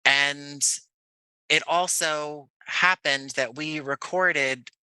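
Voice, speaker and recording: A man's voice: a little high, steady tone, extremely slow, pushed and bright, clean tone, no room sound, poor audio. An American man from Jersey City talking reflectively as an interview guest, in a conversational, wordy way that often doubles back on itself. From Jersey City, United States. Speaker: podcast guest, audio playwright.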